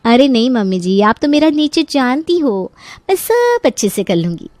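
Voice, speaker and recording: A woman playing a carefree, overconfident young daughter-in-law in an animated story, with a playful, breezy, cheeky manner. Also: a little high, normal speed, no room sound. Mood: breezy, reassuring. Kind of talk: Hindi animated story voice acting.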